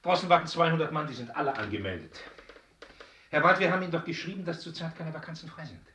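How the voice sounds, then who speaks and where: An actor in a German radio drama playing a brusque, condescending gatekeeper at a casting agency, officious and emphatic. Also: male voice, fairly high pitch, quick pace, sharp tone, far from the mic, a little hiss or hum. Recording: roomy echo, off the mic.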